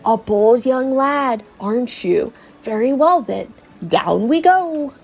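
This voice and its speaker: A woman's voice: fairly high pitch, animated, takes it slowly, a little mellow, husky, heavily acted. A woman voicing a fussy elderly male professor in a video game, with hesitant, old-fashioned, teasing phrasing. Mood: cautious, needling.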